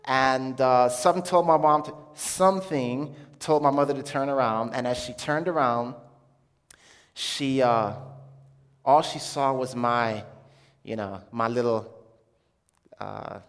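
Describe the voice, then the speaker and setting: Halting man testifying in a reverberant hall. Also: medium pitch. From Jamaica, then Brooklyn, New York from childhood. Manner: halting, conversational, searching for words. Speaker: speaker giving a personal testimony to an audience. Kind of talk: informal religious testimony, storytelling to an audience. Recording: echoey hall with long reverb.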